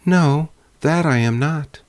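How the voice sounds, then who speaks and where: A man voicing a brave, earnest young girl in a fairy-tale audiobook, with a gentle but resolute manner. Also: fairly high pitch, slow pace, dry recording, pitched above the performer's own voice. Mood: fearless.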